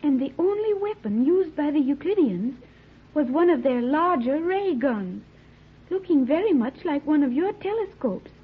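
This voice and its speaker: High-voiced, solemn teenage girl. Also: expressive, moderate pace. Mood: intense, fearful. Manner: formal, precise, earnest diction. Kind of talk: old-time radio adventure serial acting. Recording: muffled, narrow-band old radio recording.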